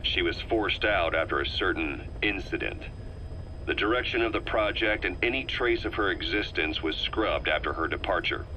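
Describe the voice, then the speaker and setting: An actor playing a shrewd, grave male spy in a video game, giving a recorded briefing in a measured, ominous, deliberate way. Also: low voice, animated, sharp tone. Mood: confiding, sober. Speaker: intelligence informant. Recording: noisy audio cassette tape playback.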